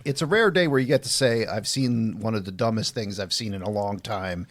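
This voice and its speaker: A man's voice: lowish voice, fairly quick. A man co-hosting a casual movie podcast, making wry remarks and laughing at himself. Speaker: podcast co-host.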